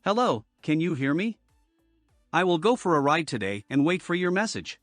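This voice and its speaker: A man's voice: a little high, sing-song, moderate pace, no echo, faint music behind. A man speaking a short, simple test message as if on a call.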